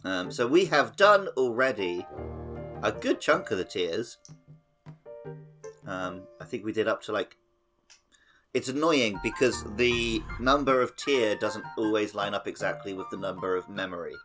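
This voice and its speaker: Male podcast co-host, slightly low voice, informal explanatory game talk, faint music underneath. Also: animated, measured pace, fairly bright tone. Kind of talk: casual video game playthrough chat.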